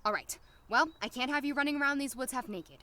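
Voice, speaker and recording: Woman acting a high-pitched, flustered, wry young doctor. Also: fast talker, bright, forceful tone. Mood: hushed urgency. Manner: flustered, sarcastic, talks to herself. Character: anxious, wry young woman doctor, new lab worker in town. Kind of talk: audio drama acting with inner monologue.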